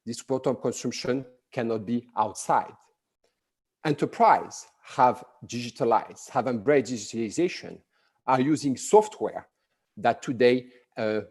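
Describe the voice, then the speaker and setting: A middle-aged man with a thick French accent presents at a conference in a measured way, often pausing on "uh". His voice sits at an ordinary middle pitch. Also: darkish tone, slightly rough. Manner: measured, frequent "uh" fillers. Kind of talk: prepared conference talk.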